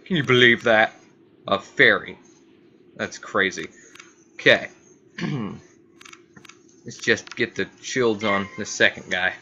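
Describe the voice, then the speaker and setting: A man's voice: on the low side, takes it slowly, long pauses, pushed and bright, lo-fi sound. A man giving casual let's play commentary, rambling and repeating himself as he thinks aloud, as host of a gaming channel. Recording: faint background noise.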